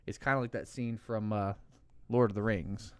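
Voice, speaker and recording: A man's voice: lowish voice, fairly even intonation, normal speed, smooth, no room sound. A man hosting a podcast in a casual comedic style, with an irreverent, sweary, joking manner. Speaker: podcast host. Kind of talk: casual comedic chat, recapping a film.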